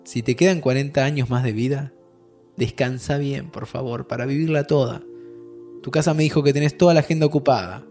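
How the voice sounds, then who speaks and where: An actor with an Argentine accent playing a warm young man who says goodbye to his friend, laughing softly and comforting him. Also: medium pitch, steady tone, a little fast, faint music behind, lightly acted. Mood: caring. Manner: affectionate, laughing, soothing. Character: warm young male time traveler saying goodbye. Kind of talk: audio drama acting.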